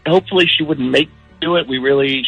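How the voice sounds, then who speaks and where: A man's voice: medium pitch, fast, vocal fry, a bit bright, dull audio, soft background music. A man chatting informally on a call to a radio show. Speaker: radio phone-in guest, prank-call target. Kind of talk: casual, informal phone chat.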